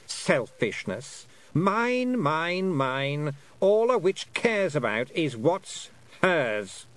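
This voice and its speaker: A man's voice: fairly high pitch, very animated, drawn-out words, fairly bright tone, pitched above the performer's own voice, heavily acted. A man voicing a cold, haughty fairy Queen in a storybook reading, condescending and mock-polite, drawing out the words. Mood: mocking, scornful. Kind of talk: audiobook character voice.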